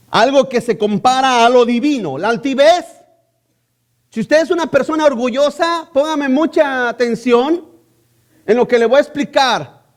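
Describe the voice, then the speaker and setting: High-voiced man preaching with rhetorical questions. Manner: emphatic, repeats key phrases. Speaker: pastor. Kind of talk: sermon.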